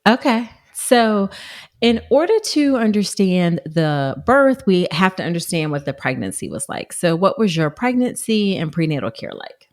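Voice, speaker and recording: A woman's voice: fairly low pitch, sing-song, normal speed. A woman hosting a podcast, a doctor who interviews guests and reads her own promos, often affirming with short replies. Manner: warm affirming interviewer with frequent okays. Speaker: podcast host, obstetrician.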